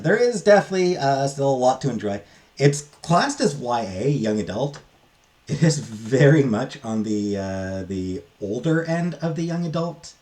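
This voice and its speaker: A man talking casually and unscripted as a book reviewer, in a halting, hesitant way with trailing asides. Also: medium pitch, darkish tone.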